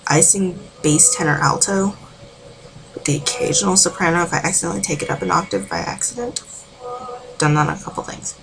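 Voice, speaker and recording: A woman giving a casual, rambling vocal warm-up tutorial from home, full of filler words. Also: fairly low pitch, brisk, gravelly, background noise. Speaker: amateur singing tutor.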